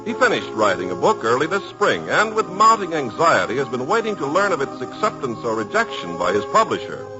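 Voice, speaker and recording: A man announcing and narrating a 1940s radio show in a smooth, formal manner, on a dull old broadcast recording. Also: medium pitch, huge pitch swings, fairly quick, music in the background.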